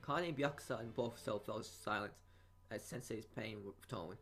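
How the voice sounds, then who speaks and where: A man's voice: fairly low pitch, unhurried, fairly bright tone. A man reading visual novel narration aloud as a let's player, often stumbling over words. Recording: game music behind voice. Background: game music.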